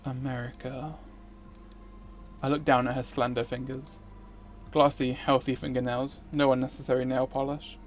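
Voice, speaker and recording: Mid-pitched man narrating a visual novel. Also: flat delivery, moderate pace, long pauses, slight background noise. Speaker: streamer.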